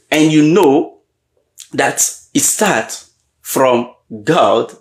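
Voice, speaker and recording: A man giving a motivational leadership talk, often pausing to check in with his audience and repeating key questions. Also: medium pitch. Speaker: leadership coach. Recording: roomy echo.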